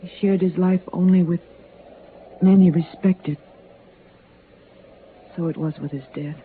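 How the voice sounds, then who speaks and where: An actress playing a solemn, resigned young frontier widow in an old-time radio western. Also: female voice, fairly low pitch, fairly even intonation, measured pace, close to an everyday voice. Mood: resigned, sorrowful. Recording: muffled, noisy vintage radio recording.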